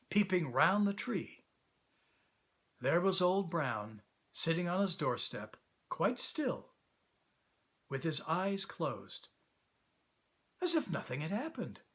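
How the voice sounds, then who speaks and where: Guy reading a kids story, pretty high bright voice, super expressive storyteller. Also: slightly rough. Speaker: actor reading a children's story. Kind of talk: animated storybook narration.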